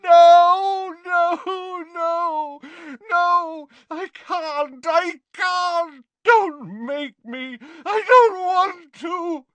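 Delirious elderly wizard, high strained pitch, slow anguished pleading. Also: male voice, a bit bright, no echo, exaggerated voice. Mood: anguished begging. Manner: moaning, pleading, drawn-out words. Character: frightened, delirious old male wizard, pleading in agony.

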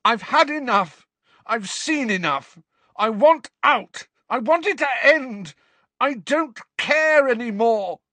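A man voicing a grieving teenage boy wizard who shouts with his voice shaking in rage. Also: very high voice, expressive, slow, no echo, voice pushed up, heavily acted. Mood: furious.

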